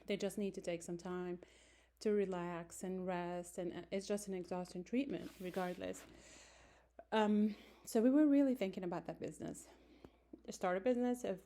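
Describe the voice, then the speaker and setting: A woman in her forties, from Morocco and raised in France, introducing herself to a class in an unhurried way with many fillers and self-corrections, recorded on a close-held phone in a small room. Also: medium pitch, fairly even intonation, warm tone.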